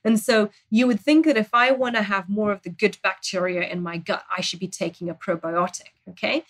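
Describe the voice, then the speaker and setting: A woman who grew up in Zambia, a dietitian guest on a talk show, explaining calmly and earnestly. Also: medium pitch, fairly quick. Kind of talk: expert explaining in interview.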